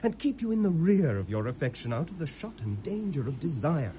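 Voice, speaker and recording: Earnest young british noble, protective brother, theatrical shakespeare radio play, higher mellow voice, slight hiss. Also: male voice, sing-song, fairly quick. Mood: cautioning. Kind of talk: classic Shakespearean radio drama acting. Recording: hissy vintage radio drama recording.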